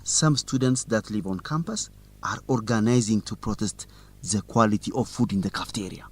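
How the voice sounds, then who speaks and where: Bold, animated young student. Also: male voice, on the low side, normal speed. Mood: confident, teasing. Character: bold, curious young male student, aspiring journalist. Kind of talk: educational radio drama dialogue, simple clear English.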